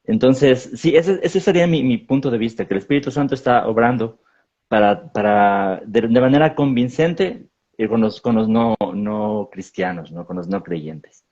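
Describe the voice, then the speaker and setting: A man, a pastor, explaining theology in a measured way in a panel discussion, often repeating words as he thinks. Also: medium pitch, lively intonation, a little mellow, muffled recording.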